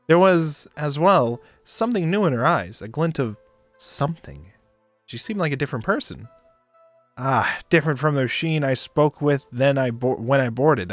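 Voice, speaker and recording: A man reading a visual novel aloud as a streamer, with expressive narration. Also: on the high side, dry recording. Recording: dry close mic, background music.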